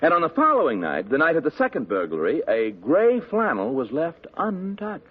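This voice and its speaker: A pompous, fussy tailor in a 1950s radio drama, haughty and condescending. His husky voice swoops up and down, and it comes through a dull, muffled old broadcast. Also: a little high, wildly swooping pitch, moderate pace, exaggerated voice. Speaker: custom tailor and client.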